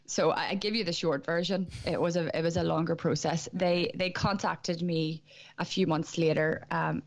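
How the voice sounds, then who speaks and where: A woman's voice: fairly low pitch, steady tone, moderate pace, no echo. A woman in her twenties with a Belfast accent, answering as an interview guest in an enthusiastic way, often restarting her sentences. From Northern Ireland. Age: 20s. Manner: enthusiastic, with frequent restarts and fillers. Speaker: guest, whiskey brand ambassador. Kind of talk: remote interview. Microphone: call-in line.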